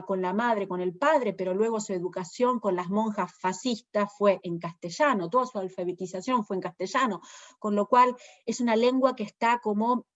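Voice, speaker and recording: A woman with an Argentine accent, a poet giving a thoughtful literary talk, pausing often with fillers as she searches for words. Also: medium pitch, dull audio. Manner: thoughtful, hesitant, many fillers. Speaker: poet and presenter.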